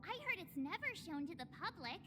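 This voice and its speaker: An actress playing a perky, kind-hearted young girl adventurer with expressive, theatrical delivery in a video game. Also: very high voice, fairly quick. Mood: excited. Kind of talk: scripted video game dialogue. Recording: game music behind the voice. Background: game music.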